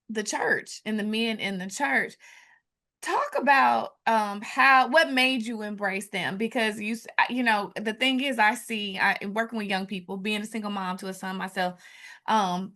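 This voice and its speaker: A woman's voice: medium pitch, fairly bright tone. A middle-aged woman hosting an interview, affirming her guest and building up at length to her questions. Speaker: podcast host.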